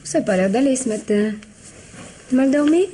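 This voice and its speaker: An actress playing a gentle, solicitous sister in a radio mystery, conciliatory and concerned. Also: female voice, high-pitched, lively intonation, normal speed, a little hiss or hum. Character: gentle, concerned, placating sister of factory owner. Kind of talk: French radio mystery drama acting.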